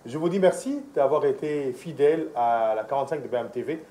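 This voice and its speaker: A man's voice: medium pitch, expressive, a little fast, mellow. A man with a Congolese French accent hosting a conversational television interview on a studio set. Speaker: TV interview host.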